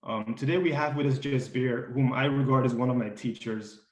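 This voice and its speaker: A man's voice: medium pitch, normal speed. A man moderating a panel discussion, introducing guests with frequent ums and restarts. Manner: hesitant, many ums and restarts. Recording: echoey room.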